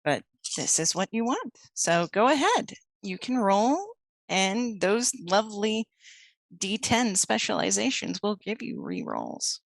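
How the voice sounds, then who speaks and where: A woman narrating as storyteller for a tabletop werewolf game, setting scenes slowly and deliberately. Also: medium pitch, wildly swooping pitch, creaky, no echo.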